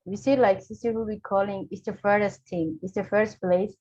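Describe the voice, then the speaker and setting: Woman from Somalia, earnest, halting English, muffled lo-fi call audio. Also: medium pitch, steady tone, mellow. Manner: earnest, halting non-native English with pauses. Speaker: webinar speaker, refugee community leader. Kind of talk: online webinar talk.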